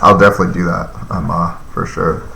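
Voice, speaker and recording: A man's voice: low voice, level tone, fast, vocal fry, sharp tone, reverberant, slight background noise. A man in his thirties chatting casually on a live stream, reading and answering viewer comments in a loose, rambling way with lots of fillers. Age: 30s.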